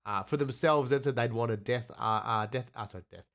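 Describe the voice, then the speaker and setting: A man's voice: medium pitch, a little fast, a bit bright. A man with a blocked-up, snuffly nose co-hosts a casual podcast. His voice sits mid-range, and he chats easily, reading out viewer questions and laughing now and then. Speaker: podcast co-host.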